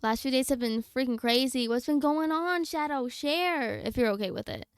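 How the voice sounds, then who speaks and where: A woman's voice: high-pitched, normal speed, clean tone, dry recording, studio quality. A young adult woman livestreamer chatting casually with her viewers in a slangy, blunt, candid way. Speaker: game livestreamer.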